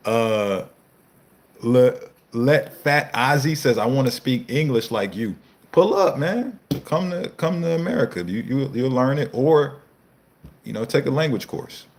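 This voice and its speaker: A man's voice: medium pitch, lively intonation, a little slow. A man in his thirties, a fitness coach, hosting a casual live Q&A, reading viewer comments and answering in a loose, slangy, profane way. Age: 30s.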